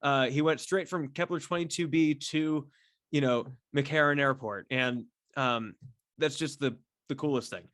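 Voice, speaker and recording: A man chatting casually and solo as a podcast host, effusive and halting, repeating words and swearing freely as he rambles. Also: mid-range voice, fairly bright tone, no echo, studio quality. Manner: effusive, enthusiastic fan talk. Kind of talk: casual solo chat to camera about a favorite band.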